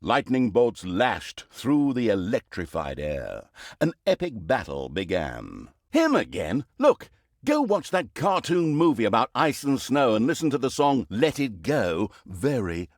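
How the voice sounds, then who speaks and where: Pompous, sardonic game narrator, wildly animated man. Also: mid-range voice, no room sound, studio quality. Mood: exasperated, excited. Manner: mock-grandiose, sardonic. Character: pompous, sardonic male storyteller narrating an evil overlord's campaign. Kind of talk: theatrical scripted fantasy narration.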